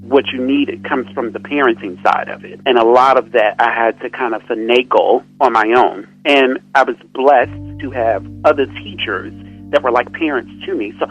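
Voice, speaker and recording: A young man opens up about his upbringing in an earnest, searching way. His voice is a little husky, and it comes through a dull, muffled remote line. He often drifts into softer asides. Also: medium pitch, moderate pace, faint music behind. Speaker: guest dancer. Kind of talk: reflective personal interview.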